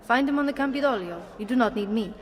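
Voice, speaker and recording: An actress playing an impatient madam and informant in a video game, brusque and dismissive. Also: female voice, fairly high pitch, lively intonation, fast, big-room sound, a little hiss or hum, close to an everyday voice. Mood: curt. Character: curt, impatient female brothel madam and informant. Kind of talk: video game cutscene acting.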